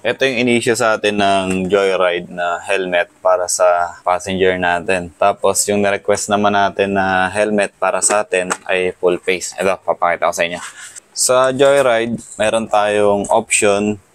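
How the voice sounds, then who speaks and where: A man's voice: deep voice, fairly even intonation, normal speed, slight background noise. A Filipino man giving a casual hands-on installation walkthrough, thinking aloud as he works. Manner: thinking aloud while working with his hands. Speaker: motorcycle gear vlogger.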